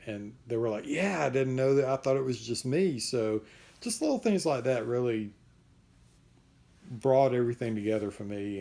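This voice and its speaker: A man chatting casually in a vlog update, rambling a little with restarts and a voice that is going hoarse. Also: mid-range voice, dark tone. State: losing his voice. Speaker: vlogger.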